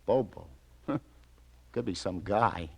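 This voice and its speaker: Streetwise, colloquial police detective. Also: male voice, deep voice, lively intonation, drawn-out words, gravelly, breathy edge, exaggerated voice. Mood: businesslike. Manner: colloquial, clipped, easygoing questioning. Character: streetwise male police detective lieutenant, weary but kindly. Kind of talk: mid-century radio crime drama acting.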